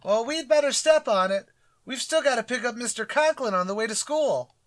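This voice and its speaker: An actor playing an excitable, hapless teenage schoolboy in a radio comedy, eager and naive. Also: mid-range voice, moderate pace, close to an everyday voice. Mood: nervous, eager. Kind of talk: old-time radio comedy acting.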